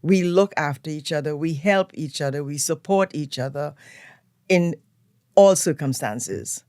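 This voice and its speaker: An older woman with a Trinidadian accent, a guest telling stories of her upbringing in a relaxed, unhurried way. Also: sits low, no room sound, clean studio sound. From Trinidad and Tobago. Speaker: guest, event planner. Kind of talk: relaxed storytelling interview. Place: radio studio.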